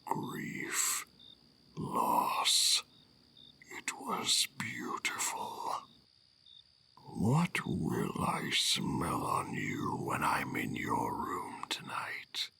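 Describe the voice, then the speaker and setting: A man voicing a predatory monster that savors human fear, in a raspy, breathy whisper for a horror audio drama. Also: rumbling low, huge pitch swings, bright, forceful tone, exaggerated voice. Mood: menacing, savoring. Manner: slow, menacing, savoring delivery.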